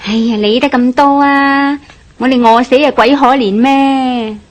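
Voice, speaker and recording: A pushy, hard-up woman with a high voice and a Hong Kong Cantonese accent. She exclaims and goads her companion along in classic radio drama style. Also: steady tone, a little slow. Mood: urging. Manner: exclaiming, goading.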